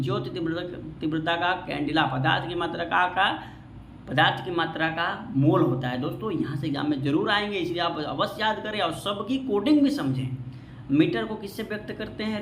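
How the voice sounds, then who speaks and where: Male teacher, slightly high voice, exam coaching delivery with rhetorical questions and repetition, recorded in a very echoey room. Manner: repetitive, quizzes listeners then answers himself. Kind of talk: exam-prep physics lesson, question-and-answer teaching. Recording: echoey room with faint background noise.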